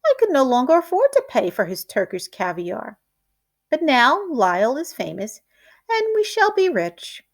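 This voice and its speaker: A woman's voice: high voice, wildly swooping pitch, a little slow, voice pushed up, exaggerated voice. A woman voicing a pompous, insistent male stage performer in a storybook, with grandiose, theatrical declaiming. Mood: boastful.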